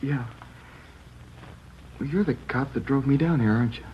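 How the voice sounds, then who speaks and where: A man's voice: medium pitch, slight background noise, natural voice. An actor doing a shaken teenage boy. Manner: halting, upset, voice rising on the worst parts. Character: shaken teenage boy whose mother was killed. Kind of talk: 1950s radio drama acting. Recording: dull lo-fi old radio broadcast.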